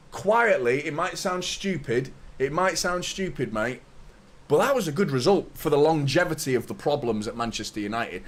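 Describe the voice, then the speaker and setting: A man in his thirties with a slightly hoarse voice, hosting a live football fan stream, chatting to viewers and ranting with strong opinions. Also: mid-range voice. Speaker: host of a football fan watchalong. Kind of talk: live stream chat and commentary.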